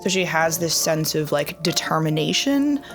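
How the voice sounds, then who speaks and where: Young woman, low pitch, gravelly, reflective, lots of fillers, tabletop player. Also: expressive, moderate pace, soft background music. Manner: reflective, with frequent "like" and "um". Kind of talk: tabletop roleplay, describing her character.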